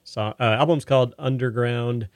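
A man hosting a music radio show in casual chat, halting and correcting himself as he goes, with a creaky voice. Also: mid-range voice, fairly even intonation, no echo, studio quality.

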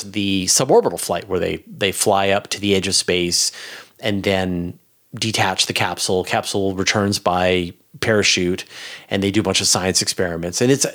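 Low-voiced man explaining things casually. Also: fairly bright tone. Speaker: space expert guest.

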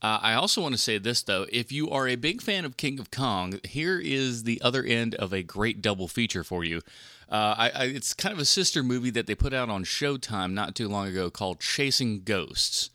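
A man's voice: mid-range voice, animated, normal speed, pushed and bright, dry recording, studio quality. A man hosting a film podcast solo in a casual, rambling, informal and mildly profane way. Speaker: podcast host reviewing films.

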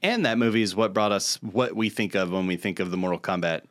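A man's voice: lowish voice, quick pace, fairly bright tone. A man co-hosting a podcast, chatting casually about pop culture in an enthusiastic, opinionated way. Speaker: podcast co-host.

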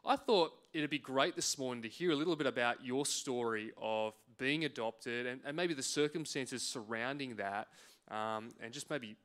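A man's voice: medium pitch, moderate pace, a bit bright. A man leading an interview at a church service, friendly and guiding as he introduces the topic. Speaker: church pastor interviewing guests.

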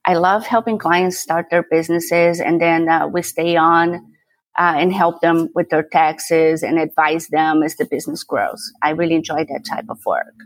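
Middle-aged Brazilian woman, native Portuguese speaker, relaxed interview guest; low-pitched, dry close recording. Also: moderate pace, no echo, low-quality recording. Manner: easygoing, laughs lightly, repeats words as she thinks. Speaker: guest, tax attorney and CPA. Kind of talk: casual interview talk.

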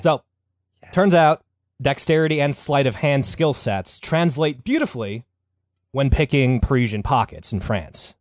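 A man recounts a story with wry, profane humor in a relaxed comedic chat. He sits at an average pitch, and his voice is close and dry with no room sound. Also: measured pace, no room sound.

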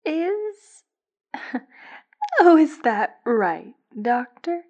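An actress plays a power-hungry schemer in an audio drama, her voice high and slow. She swoops through silky, courteous phrases, pausing as she presses her point. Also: sing-song, a little mellow, dull audio, exaggerated voice. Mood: coolly probing. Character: scheming, power-hungry woman commanding scouts.

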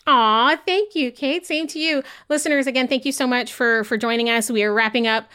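A woman's voice: fairly high pitch, a little fast, bright, forceful tone, smooth. A woman hosting a podcast, chatting in an upbeat way with many fillers. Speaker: podcast host. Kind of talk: casual chat.

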